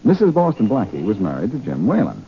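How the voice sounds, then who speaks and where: Cocky wry radio detective hero, 1940s style, very lively delivery, noisy old recording. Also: male voice, on the low side, fast, phone-quality sound. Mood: light, bantering. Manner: wry, teasing. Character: wry, confident amateur sleuth hero, adult man.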